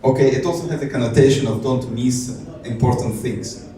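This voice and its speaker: A man's voice: mid-range voice, normal speed, mellow. A man from Israel giving a technical conference talk, hesitant with many fillers and restarts. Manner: hesitant, many fillers and restarts, light self-amused laughs. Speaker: conference speaker. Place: conference hall. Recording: echoey hall, distant mic, faint noise.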